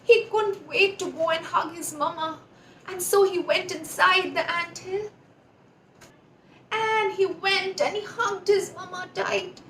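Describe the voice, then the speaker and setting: Lady doing kids storytime, really high voice, stresses words by repeating em, echoey room. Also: fairly even intonation, slow pace, sharp tone, a little hiss or hum. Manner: addresses children directly, emphatic word repetition. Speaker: children's storyteller, channel host. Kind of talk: expressive children's storytelling.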